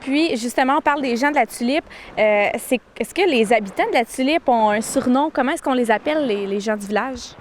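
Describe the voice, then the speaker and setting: A woman's voice: fairly high pitch, brisk, hardly any pauses, a little hiss or hum. A woman hosting a local TV show, asking friendly, chatty interview questions on first-name terms. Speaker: TV host, interviewer.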